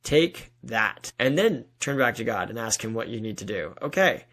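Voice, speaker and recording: A man in his thirties chatting casually as an interview guest, acting out bits of imagined dialogue in an animated, informal way. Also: mid-range voice, normal speed. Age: 30s. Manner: animated storytelling, acts out imagined dialogue, frequent "like".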